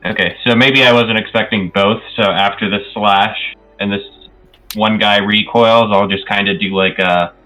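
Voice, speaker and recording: A man's voice: fairly low pitch, steady tone, moderate pace, pushed and bright, faint music behind, low-quality recording. A man talking casually as a player in a tabletop roleplaying game, tentatively working out his actions aloud.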